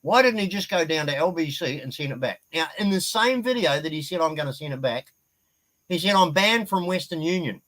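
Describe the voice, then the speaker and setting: A man's voice: on the high side, lively intonation, brisk, fairly bright tone, low-quality recording. A man, a guest on a casual live-stream chat, speaking bluntly and swearing casually.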